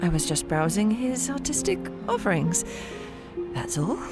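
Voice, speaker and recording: A woman's voice: mid-range voice, sing-song, normal speed. A woman playing a refined, shy young musician mare in an audio drama, hesitant and politely apologetic. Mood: sheepish, apologetic. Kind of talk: audio drama character acting. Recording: loud music over the voice.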